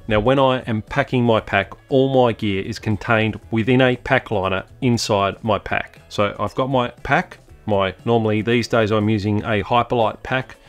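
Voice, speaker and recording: A middle-aged Australian man hosting a hiking vlog, talking casually to camera from his home study and correcting himself as he chats. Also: on the low side. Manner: chatty, self-correcting, relaxed. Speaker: hiking channel host. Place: home study lined with bookshelves.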